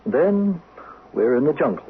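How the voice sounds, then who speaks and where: An actor playing a frank, calmly authoritative psychiatrist in a 1970s radio drama, questioning with measured pauses. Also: male voice, fairly high pitch, slight background noise. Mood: frank, explaining. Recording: thin narrow-band vintage radio broadcast.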